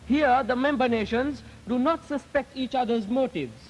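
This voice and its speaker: High-voiced earnest Sri teenage boy orator. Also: normal speed, poor audio. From Sri Lanka. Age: teens. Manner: earnest, declamatory, rhetorical. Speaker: youth delegate. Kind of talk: formal prepared speech. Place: public speaking event. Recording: 1950s archival recording.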